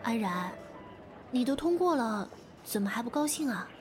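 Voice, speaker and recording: A woman playing a caring, protective young friend and colleague in an audio drama, speaking with expressive concern. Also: on the high side, normal speed, lightly acted. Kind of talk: modern audio drama acting. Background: faint music.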